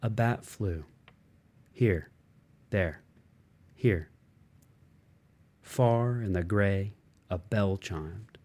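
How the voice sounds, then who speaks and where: Deep, unhurried man reading fiction. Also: warm tone. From United States. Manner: measured, fragmentary phrasing with pauses. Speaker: audiobook reader. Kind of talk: literary narration, stream-of-consciousness reading.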